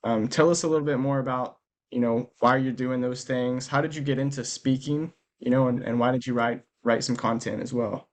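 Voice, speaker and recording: A man's voice: mid-range voice, steady tone, brisk. A man hosting a podcast in a friendly, chatty way, asking questions and laughing easily. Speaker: podcast host. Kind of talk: casual interview chat.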